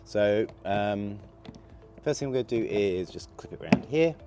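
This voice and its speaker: A man with a gravelly voice from a cold, casually talking through a hands-on installation while he works. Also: on the low side, moderate pace, over loud music. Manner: relaxed, chatty, talks while working with hands. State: hoarse from a cold. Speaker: product installer demonstrating. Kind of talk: casual how-to demonstration.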